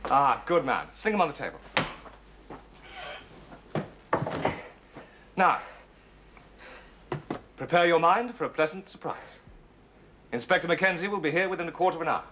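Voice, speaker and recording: An actor playing a suave, audacious gentleman thief with an English accent and a breezy, teasing, politely clipped manner in a period drama. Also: on the high side, expressive, brisk, pauses often, a little hiss or hum. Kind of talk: period television drama dialogue.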